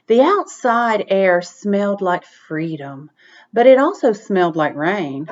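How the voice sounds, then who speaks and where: A woman reading a picture book aloud expressively, in a deliberate storytelling manner, on a dull recording. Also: medium pitch. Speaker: read-aloud reader. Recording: dull, muffled lo-fi sound.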